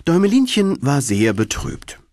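A man narrating a fairy tale for an audiobook, expressive and deliberate in his storytelling. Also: a little high, dry recording, clean studio sound.

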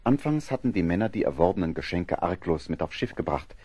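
A man narrating an audio drama from a script in a measured, factual storytelling manner. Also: sits low, sing-song, mellow, slightly rough, dry recording.

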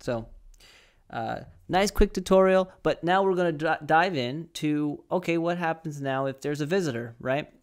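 A man's voice: on the high side, normal speed, clear, resonant tone. A young man presenting a conversational step-by-step tech tutorial, explaining and often checking in with the listener, recorded on a close desk microphone in a small studio. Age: young adult. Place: small home studio with acoustic foam.

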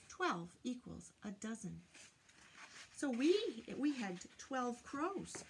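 A woman's voice: mid-range voice, creaky, slight background noise. An older woman doing a children's read-aloud, teaching in a sing-song way and putting questions to her young listeners. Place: small indoor room lined with bookshelves.

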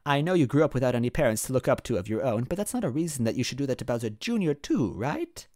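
A man voicing a fussy, anxious old female magic advisor, speaking in a hushed, soothing, fretful way over faint music. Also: medium pitch, big pitch swings, quick pace, no echo, clean studio sound, close to an everyday voice.

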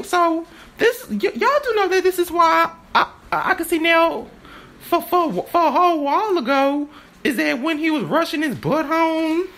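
A woman performing an improvised stage-play monologue, halting and stammering as she repeats her phrases. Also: very high-pitched, a bit bright.

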